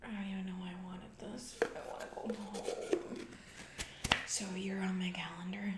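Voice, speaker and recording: A woman playing a self-absorbed, distracted female CEO in a comedic ASMR roleplay, rambling flippantly in a breathy whisper with casual asides. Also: mid-range voice, echoey room. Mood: bored, distracted.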